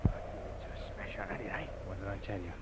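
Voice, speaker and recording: A man playing a cocky, smooth-talking car thief and con man in an old radio crime drama, breezy and persuasive. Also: low voice, unhurried. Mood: scheming. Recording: faintly noisy old radio recording.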